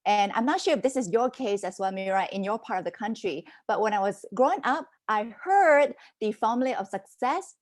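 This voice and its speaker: A woman in her forties, a business mentor raised in Hong Kong and Canada, telling her life story as a podcast guest in an animated, conversational way. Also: a little high. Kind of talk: casual interview storytelling.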